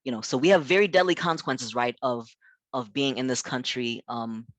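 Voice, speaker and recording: A man's voice: medium pitch, animated, normal speed, no echo. Midwestern-accented man leading a chatty workshop. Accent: Midwestern American. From South Africa. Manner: chatty, peppered with "you know" and "right?"; earnest and emphatic. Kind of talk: conversational workshop presentation.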